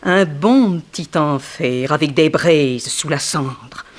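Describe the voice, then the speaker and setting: An actress playing a bitter, sharp-tongued former ballerina in a 1960s French radio detective play, dramatic and insinuating. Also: female voice, low voice. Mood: sardonic, gossiping. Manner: dramatic, insinuating, swinging from slow emphasis to outbursts.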